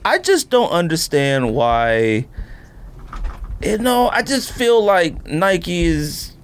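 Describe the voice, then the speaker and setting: A man's voice: sits high, very animated, slow, clear, resonant tone. A man in informal podcast chat, thinking aloud and hedging as he goes.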